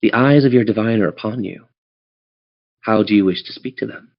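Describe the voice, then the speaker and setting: A man's voice: fairly low pitch, a little fast, creaky. A man running a tabletop role-playing game, narrating scenes in a descriptive, theatrical storyteller's manner. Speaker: game master. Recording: dull lo-fi audio.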